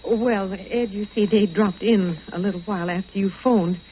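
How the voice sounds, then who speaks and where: An actress playing an anxious, hospitable middle-aged mother-in-law in a 1940s radio drama, halting and fretful. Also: medium pitch, close to an everyday voice. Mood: nervous politeness. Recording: tinny, hissy vintage radio.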